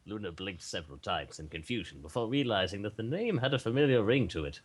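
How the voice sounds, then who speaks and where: A man narrates a story as an amateur reader, now and then repeating a word. His voice is low and a little raspy, with lively rises and falls. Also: quick pace. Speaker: podcast participant reading aloud.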